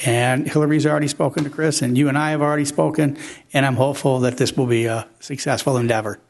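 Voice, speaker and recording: A man's voice: mid-range voice, steady tone, fast, vocal fry. A middle-aged man, the city manager, speaking courteously and matter-of-factly at a public council meeting. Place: council chamber.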